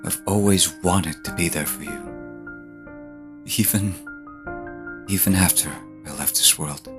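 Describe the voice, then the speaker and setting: An actor playing a dead man who writes tender letters to a partner, in halting, intimate audio drama delivery. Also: deep voice, over music. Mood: tender, solemn.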